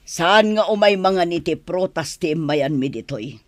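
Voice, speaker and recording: Casting: disdainful village matron, middle-aged, Ilocano accent, highly animated radio-play delivery. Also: female voice, unhurried, no echo. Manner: complaining, disdainful, theatrical. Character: haughty middle-aged village mother who scorns farmers. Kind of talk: Filipino radio drama acting.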